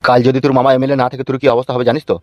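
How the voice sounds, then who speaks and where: A man's voice: medium pitch, flat delivery, racing, no echo, studio quality, natural voice. A man voicing a stern police officer who scolds and lectures in a cartoon dub. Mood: scolding. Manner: scolding, bossy.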